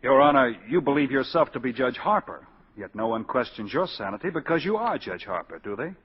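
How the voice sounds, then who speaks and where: Idealistic attorney, quick-witted and earnest; husky, animated, muffled old radio drama sound. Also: male voice, medium pitch. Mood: firm, formal. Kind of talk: 1940s radio acting. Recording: dull 1940s radio broadcast, long reverb.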